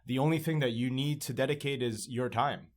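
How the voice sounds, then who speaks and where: A man in his thirties hosts a relaxed podcast conversation. He talks in an upbeat, rambling way, often restarting his sentences. He is recording in a library studio. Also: medium pitch, brisk. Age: 30s. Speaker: podcast host. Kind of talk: casual podcast chat.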